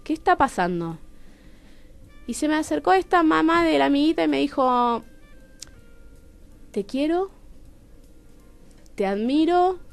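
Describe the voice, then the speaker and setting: A woman with a Rioplatense Argentine accent, telling personal stories expressively as a guest in a radio interview. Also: high voice. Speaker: playwright and radio show guest. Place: radio studio.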